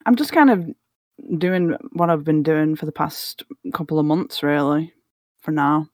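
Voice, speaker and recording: A man's voice: a little high, measured pace, dry recording, clean studio sound. A man from Britain, a guest creator, answering in a laid-back, brief way. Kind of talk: casual chat.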